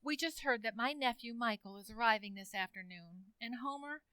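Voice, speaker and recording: Fretful teen sweetheart, a woman acting, highish bright voice, dramatic exclamations, old-time radio comedy. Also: fairly quick, smooth, no room sound. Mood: apologetic, fretful. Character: fretful, apologetic teenage girl.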